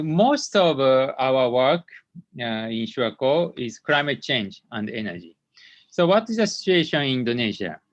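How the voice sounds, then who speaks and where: A man from Japan with a Japanese accent presents slides as a company founder in an online meeting, speaking haltingly with many hesitant fillers. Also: mid-range voice, no room sound. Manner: halting, hesitant delivery with frequent long fillers. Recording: dull, lo-fi online meeting audio.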